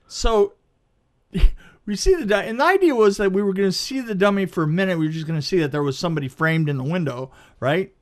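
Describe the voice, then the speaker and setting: A middle-aged man telling a casual anecdote in an emphatic, halting way, repeating phrases and checking in with the listener. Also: high voice, lively intonation. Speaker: podcast guest, film crew veteran.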